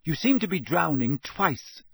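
A man voicing a bossy, earnest teenage girl campaigner, brisk and insistent, in a storybook reading. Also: a little high, big pitch swings, gravelly, husky, dry recording, pitched above the performer's own voice, full character voice. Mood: sardonic.

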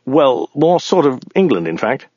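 A man's voice: medium pitch, big pitch swings, normal speed, a little mellow, no echo, lo-fi sound, pitched above the performer's own voice. Man playing a drily bemused English passenger. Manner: hesitant, drily bemused, precise.

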